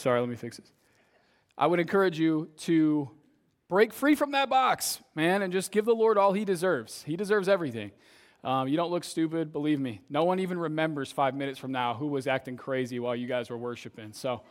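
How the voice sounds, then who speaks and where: A young adult man, a youth pastor, preaching a casual sermon to a church congregation, his voice slightly raspy from shouting, often ending sentences with "right?". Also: a little high. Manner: conversational, tags sentences with "right?". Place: church service, in front of a congregation.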